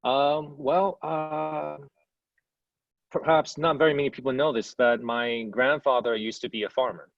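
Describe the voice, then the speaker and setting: A man with a mid-pitched voice answers interview questions in a casual, thoughtful way, pausing and picking his words. The recording is dry and slightly muffled, with little room sound. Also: fairly even intonation, dry recording. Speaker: interview guest, hotel executive. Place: indoors at a hotel.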